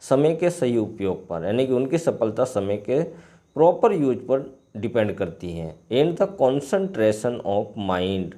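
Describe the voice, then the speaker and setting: A man with an Indian accent, a native Hindi speaker, teaching line by line and repeating phrases deliberately as he translates. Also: medium pitch, warm tone. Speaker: English teacher. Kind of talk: line-by-line bilingual lesson, reading and translating.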